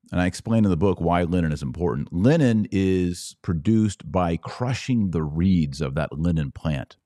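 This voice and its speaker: Male podcast host, low voice, dry sound. Explanatory, teacherly commentary with an informal, conversational delivery. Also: darkish tone, no echo. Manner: didactic, explanatory, informal asides. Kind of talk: casual commentary, explaining to an online audience.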